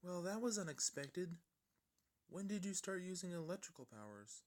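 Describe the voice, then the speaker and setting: A man's voice: sits high, big pitch swings, normal speed, voice pushed up. A man voicing a thoughtful, concerned young female pony with an earnest manner in a story reading. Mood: surprised.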